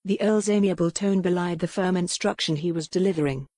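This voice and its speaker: A woman narrating a novel in a measured, even storytelling manner on a close mic. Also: lowish voice, no echo. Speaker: audiobook narrator. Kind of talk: scripted narration.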